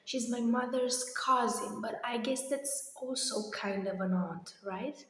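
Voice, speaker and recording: Heavy room echo and long reverb surround a young woman's slightly hoarse voice. She talks at a slow, hesitant pace, rambling casually like someone giving a personal vlog update. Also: fairly high pitch, fairly bright tone. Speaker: vlogger. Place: echoey indoor room. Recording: very echoey, reverberant empty room.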